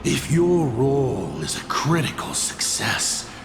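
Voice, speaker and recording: A man's voice: medium pitch, sing-song, slow, creaky, background noise, full character voice. An actor playing a cunning, grandiose villain lord in a video game, teasing and theatrical in his delivery. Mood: taunting. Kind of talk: dramatic video game voice acting. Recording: echoing voice with music underneath. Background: music.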